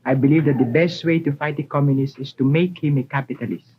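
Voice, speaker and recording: A man's voice: on the high side, steady tone, quick pace, a little hiss or hum. A teenage boy from the Philippines, a student debater arguing assertively on a 1950s broadcast. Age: teens. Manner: assertive, argumentative. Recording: muffled 1950s broadcast recording.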